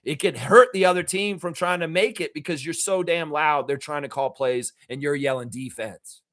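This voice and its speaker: A man hosting a fan reaction show, raspy from yelling at a game, ranting emphatically with swearing and repeated phrases. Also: fairly high pitch, moderate pace. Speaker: fan channel host. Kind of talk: fired-up fan reaction rant.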